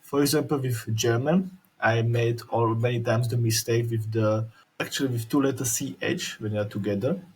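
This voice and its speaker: Slovak man talking haltingly, slightly husky. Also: medium pitch, steady tone, a little mellow, poor audio. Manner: halting, repeats and restarts words, careful articulation. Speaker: video creator. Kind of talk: casual instructional vlog. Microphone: headphones with mic.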